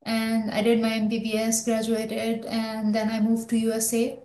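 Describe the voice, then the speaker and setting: A woman's voice: fairly high pitch, monotone, moderate pace, dark tone. Pakistani-born woman in an echoey room. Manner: rambling, many restarts and fillers. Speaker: guest, physician. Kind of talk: conversational interview answers.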